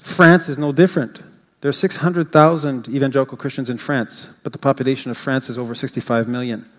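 A man's voice: medium pitch, fairly even intonation, fairly quick. An older English Canadian man giving an informal, rambling church talk, with frequent fillers and restarts. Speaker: guest speaker. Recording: reverberant church hall.

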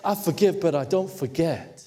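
Emphatic pastor in a reverberant church. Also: male voice, fairly high pitch, animated, moderate pace, noisy background. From Hong Kong. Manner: emphatic, teasing the congregation. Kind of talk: sermon. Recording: long hall reverb.

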